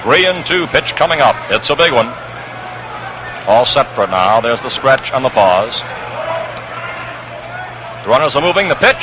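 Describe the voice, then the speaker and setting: An American man calling baseball play-by-play on 1960s radio, measured, with suspenseful pauses, on a noisy old broadcast recording. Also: medium pitch, big pitch swings, highs cut off. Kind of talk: 1960s radio sports commentary. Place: ballpark broadcast position. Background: crowd hum, noise.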